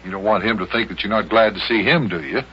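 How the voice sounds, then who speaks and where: A man's voice: fairly low pitch, quick pace, breathy edge. An actor playing a hearty, patient, folksy grown man who also narrates an old-time children's radio serial. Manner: animated, folksy, storytelling. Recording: muffled old radio broadcast recording.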